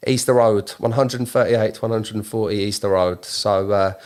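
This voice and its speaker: A man's voice: on the low side, fairly even intonation, normal speed, darkish tone. A man with a southern English accent chatting casually as an interview guest, explaining things in a self-deprecating way. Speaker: guest, clothing brand founder.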